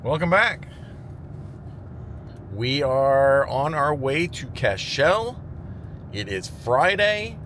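A man's voice: medium pitch, lively intonation, very slow, pauses often, fairly bright tone. A man hosting a casual vlog at an outdoor school event, chatty and upbeat, cheering the kids on. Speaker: vlog host. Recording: outdoor crowd noise with music behind. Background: crowd of kids and music.

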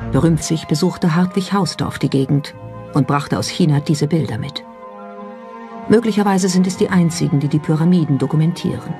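A narrator reading a scripted documentary as a studio voice-over. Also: mid-range voice. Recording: voice over background music.

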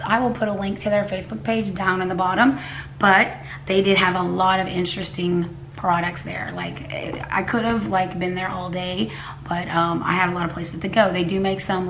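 A woman in her forties from Texas, hoarse, chatting casually to camera at home, rambling with restarts and coughs. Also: lowish voice, a bit bright, slight background noise. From Texas, USA. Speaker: cooking vlogger. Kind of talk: casual vlog talk to camera. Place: living room at home, indoors. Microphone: camera a few feet away at a table.

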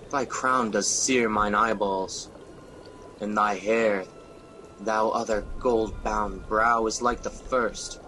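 A man's voice: lowish voice, bright, forceful tone, a little hiss or hum, rough recording. A man playing a tormented ambitious king, declaiming Shakespearean verse in an amateur radio drama.

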